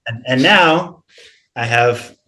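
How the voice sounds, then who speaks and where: A man's voice: mid-range voice, takes it slowly, pushed and bright, rough recording. A middle-aged man from the United States talking informally and telling stories as a singer in a casual group interview. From born in Seattle, United States. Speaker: lead singer being interviewed.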